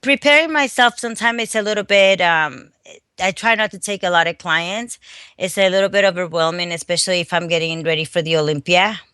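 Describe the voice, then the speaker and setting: Woman, middle-aged, bright voice, dry close sound, halting with restarts. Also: on the low side, clean tone, no room sound. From Dominican Republic. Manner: hesitant, many restarts. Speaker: fitness competitor and coach. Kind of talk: phone interview guest. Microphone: phone call.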